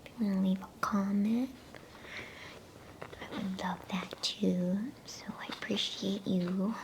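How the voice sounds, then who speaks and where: A woman whispering an ASMR bedtime story in a hushed, soothing, unhurried way. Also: medium pitch, steady tone, rough recording. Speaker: ASMR storyteller and channel host. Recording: faint background noise.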